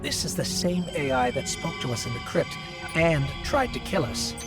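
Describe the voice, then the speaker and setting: An actor playing a small, helpful robot companion in a video game, earnest, polite and explanatory. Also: male voice, a little high, sing-song, normal speed. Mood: earnest, defensive. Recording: loud music behind the voice. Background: loud game soundtrack music.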